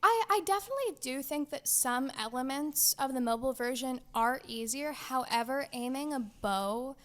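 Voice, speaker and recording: A woman's voice: high voice, lively intonation, normal speed. A woman co-hosting a gaming show, chatting casually and playfully with wry little asides.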